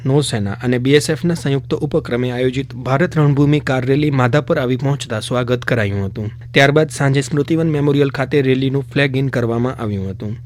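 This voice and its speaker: A man's voice: medium pitch, a little mellow. A man reading scripted news for a radio bulletin. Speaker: news reader.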